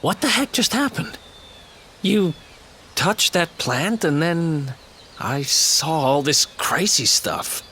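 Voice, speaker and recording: A man's voice: on the high side, lively intonation, slow pace, pushed and bright, slight background noise, exaggerated voice. A man voicing a cheeky, wry young adventurer sidekick in a video game, casual and teasing. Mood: baffled, wry.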